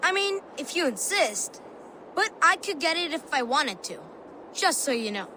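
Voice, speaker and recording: A woman's voice: high voice, big pitch swings, normal speed, bright, forceful tone, slight background noise, exaggerated voice. A woman voicing a boastful young boy who means to become a great king. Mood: earnest.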